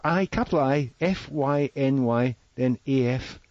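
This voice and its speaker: A man chatting as a podcast panelist, asking curious questions in a halting way and chuckling. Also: medium pitch, darkish tone, no room sound, dull audio. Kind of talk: casual panel chat.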